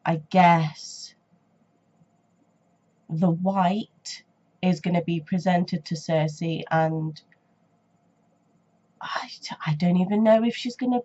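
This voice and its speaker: Woman, young adult, congested from a cold, sore throat, low voice, rambling with ums, dull lo-fi recording. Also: warm tone. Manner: rambling and hesitant, many ums and restarts. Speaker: reaction channel host. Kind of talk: casual reaction vlog chat.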